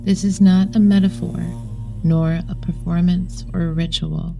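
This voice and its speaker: Woman, meditation narrator, low-pitched, gravelly fry, solemn, measured, scripted delivery. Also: level tone, mellow. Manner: solemn, measured, deliberate phrasing. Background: background music.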